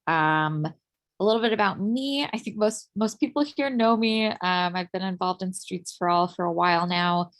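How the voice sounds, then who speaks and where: A woman from Ukraine, a steering committee member, giving a relaxed meeting update with lots of ums and uhs. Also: on the low side, normal speed. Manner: relaxed, many uh and um fillers. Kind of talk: casual online meeting update.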